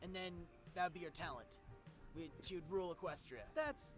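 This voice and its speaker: A man's voice: high-pitched, fairly quick, over music, natural voice. A man voicing a chatty, pedantic young male dragon in a comedic cartoon fan dub, rambling and needling with questions. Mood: smug, bickering.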